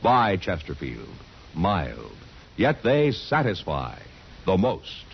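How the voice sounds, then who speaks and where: A man announcing a 1950s radio advertisement in a deliberate, emphatic manner. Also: sits low, wildly swooping pitch, over loud music. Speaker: commercial announcer. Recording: muffled, noisy 1950s radio recording.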